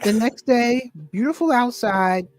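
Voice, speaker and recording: A woman's voice: fairly high pitch, expressive, measured pace. A woman, a guest telling stories in a casual chat. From Queens, New York. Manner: chatty, warm affirmations, storytelling. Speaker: guest, wellness coach and poet.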